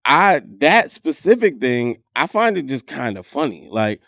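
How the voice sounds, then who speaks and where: A man's voice: mid-range voice, big pitch swings, a little slow, creaky, a bit bright, dry recording, clean studio sound. A man co-hosting a podcast, talking in a laid-back way, thinking aloud and swearing casually. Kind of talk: casual chat.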